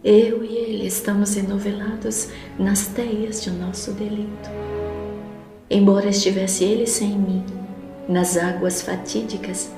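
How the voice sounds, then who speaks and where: A woman's voice: mid-range voice, flat delivery, measured pace, close to an everyday voice. A woman playing a humble, grieving wife in an audio drama, praying in a solemn, pleading manner. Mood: sorrowful supplication. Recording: echoey room with loud music bed. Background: prominent background music.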